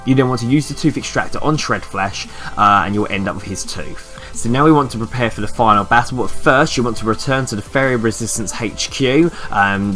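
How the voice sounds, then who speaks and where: A man with a lowish voice narrates a video game walkthrough in an informal, instructional way. He takes the listener through it step by step, with faint music playing behind him. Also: a bit bright. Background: background music.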